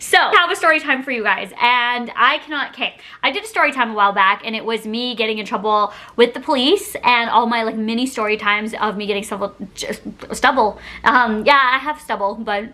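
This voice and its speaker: Young woman doing a storytime vlog, kinda high super bright voice, chatty n dramatic, giggly, rambles off topic. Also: brisk. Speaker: YouTube storytime vlogger. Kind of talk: casual storytime chat to camera.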